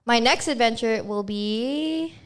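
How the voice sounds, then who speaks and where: A young woman co-hosting a casual podcast, chatty and quick to laugh as she reads out personality test results. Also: fairly high pitch, clear, resonant tone. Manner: giggly, chatty, laughs often. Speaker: podcast co-host. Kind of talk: casual chat, reading test results aloud.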